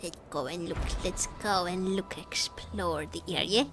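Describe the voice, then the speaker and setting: A woman's voice: fairly low pitch, measured pace, music in the background. A woman chatting casually as she livestreams a game, playfully repeating her phrases to viewers.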